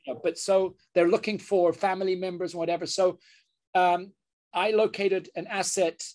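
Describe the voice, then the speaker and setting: A man's voice: high-pitched, fairly even intonation, a little mellow. A man, a surgeon, speaking as an interview guest in an earnest way, often restarting his sentences. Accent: British. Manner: earnest, halting with many restarts. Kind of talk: interview conversation.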